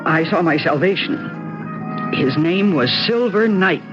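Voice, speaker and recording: An actress playing a restless politician's wife with a secret gambling habit, narrating and acting in a radio drama. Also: female voice, fairly low pitch, animated, a little slow. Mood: desperate, confiding. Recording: dull lo-fi radio sound under loud music.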